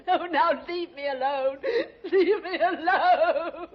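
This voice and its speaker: An actress playing a jealous, haughty young society woman prone to shrill, hysterical laughter in a 1950s radio drama. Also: slow, background noise. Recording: dull old 1950s radio recording.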